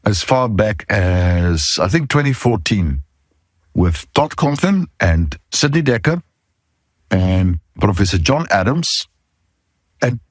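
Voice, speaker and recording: South african guy hosting a podcast, really animated pitch, bit raspy, candid and emphatic. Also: dry recording, clean studio sound. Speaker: podcast host, safety consultant. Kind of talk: informal talk to camera introducing a podcast.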